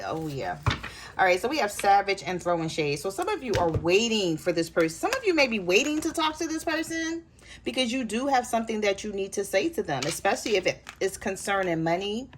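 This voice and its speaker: A woman with a hoarse voice giving a chatty tarot reading straight to her viewers. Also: mid-range voice, a little hiss or hum. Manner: relaxed, chatty, addresses viewers directly. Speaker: tarot reader.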